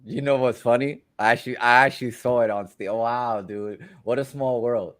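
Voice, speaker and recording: A man co-hosting a casual live show, excitable and slangy, calling people bro. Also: mid-range voice, moderate pace. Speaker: co-host. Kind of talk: casual chat.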